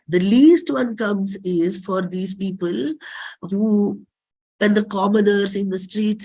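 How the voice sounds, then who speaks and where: A woman with an Indian accent giving a dramatic reading of a play script in a deliberate, declamatory manner. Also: medium pitch, darkish tone, low-quality recording. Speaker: performer reading own play.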